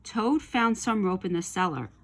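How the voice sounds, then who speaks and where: A woman reading a children's story aloud with expressive narration. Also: mid-range voice, normal speed. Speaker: storybook reader.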